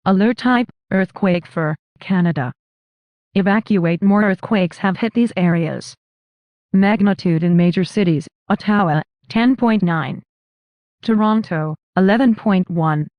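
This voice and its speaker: A woman's voice: medium pitch, a little slow, no room sound, lo-fi sound. A woman's synthetic text-to-speech voice reading scripted emergency broadcast announcements in a formal, impersonal, clipped manner.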